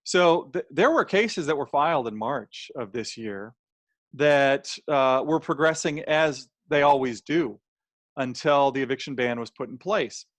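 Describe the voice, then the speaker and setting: A man's voice: mid-range voice, lively intonation, a little slow, no room sound. An American man presenting a webinar in plain, conversational language, voicing imagined quotes as he explains, with a slightly strained voice. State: slightly strained, throat-clearing voice. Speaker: webinar presenter on housing law.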